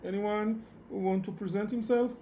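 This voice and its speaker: A man who speaks English as a second language, teaching programming in a live online lecture with halting phrasing and repeated words. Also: high voice, fairly even intonation, warm tone, slight background noise. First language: not English. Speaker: programming instructor. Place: online meetup session at a computer.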